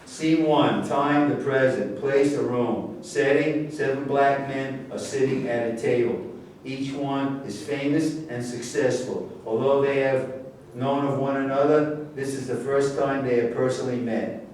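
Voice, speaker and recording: A man's voice: mid-range voice, fairly even intonation, a little slow, darkish tone. A man narrating a staged play reading, giving scripted introductions and stage directions. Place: indoor room at staged reading. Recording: echoey room, far mic, faint noise.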